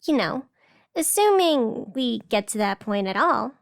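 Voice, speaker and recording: A very high-pitched, chatty radio host played by a woman reports live in an audio drama podcast. The delivery is informal and frazzled, with an exasperated edge. The sound is dry and close. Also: big pitch swings, a little slow, creaky, no room sound, full character voice. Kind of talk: fiction podcast broadcast narration.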